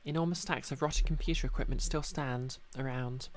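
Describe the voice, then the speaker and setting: A man's voice: mid-range voice, fairly even intonation, a little fast, a little hiss or hum. A man streaming a visual novel, reading scripted narration aloud with casual asides. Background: music.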